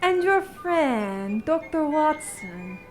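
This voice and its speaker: A high, slow and swooping voice from a woman acting as a French police inspector in a radio play. He is suave and watchful, greeting people with smooth ceremony. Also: big pitch swings, faint music behind, heavily acted.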